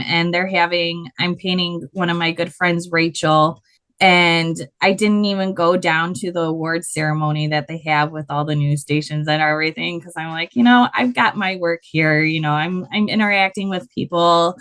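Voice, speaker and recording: Loud animated woman with a low-set voice. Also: moderate pace, smooth. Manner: animated, laughs often. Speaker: guest, body painter. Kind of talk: casual storytelling interview.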